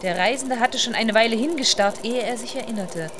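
A woman's voice: on the high side, fairly quick, background noise. A woman narrating a radio play from a literary script.

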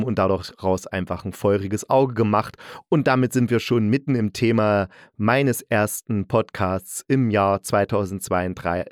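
A man's voice: on the low side, dry recording. Chatty man podcasting in a dry room. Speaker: podcast host and audio drama critic. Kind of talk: solo podcast presentation, conversational.

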